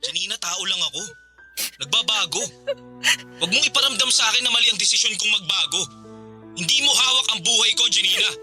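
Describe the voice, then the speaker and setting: A man's voice: high-pitched, expressive, quick pace, bright, forceful tone, soft background music, heavily acted. A man playing a cold, firm boyfriend in a radio drama, speaking in a dismissive, exasperated manner.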